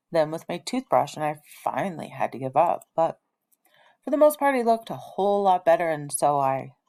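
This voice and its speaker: A woman's voice: lowish voice, dark tone. A woman teacher reading a children's novel aloud expressively, as if to an elementary class.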